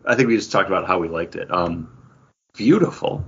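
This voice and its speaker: Husky, lowish-voiced man, animated podcast co-host. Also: brisk. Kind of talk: casual comic book review chat. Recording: dull lo-fi podcast audio.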